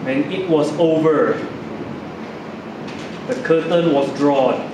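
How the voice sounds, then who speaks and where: A man reads a scripted religious story aloud as its narrator. His voice sits a little high, and his delivery is solemn and formal. The recording is very echoey, with the mic set back from him in a room with air-conditioning hum. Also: slow pace, far from the mic.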